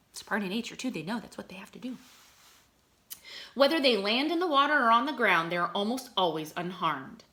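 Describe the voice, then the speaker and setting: A woman teaching through a read-aloud, with expressive delivery, explanatory asides and check-in questions. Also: medium pitch. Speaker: teacher reading poems aloud to children.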